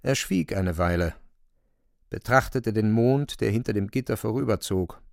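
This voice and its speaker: A man's voice: fairly low pitch, normal speed, gravelly, no room sound, clean studio sound. A man narrating a radio drama in a scripted, literary storytelling style.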